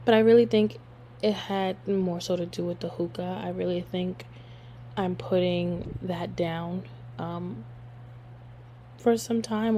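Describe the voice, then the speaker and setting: A young woman hosting her own podcast, hoarse from losing her voice, chatting casually and rambling with lots of fillers. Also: mid-range voice, a little hiss or hum. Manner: rambling, filler-heavy, relaxed. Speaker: podcast host. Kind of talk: casual solo podcast chat.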